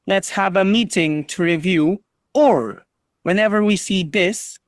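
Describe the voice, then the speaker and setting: A man's voice: high-pitched, measured pace, dry recording, clean studio sound. A man teaching an online English class over a video call, patiently drilling students on pronunciation and encouraging them.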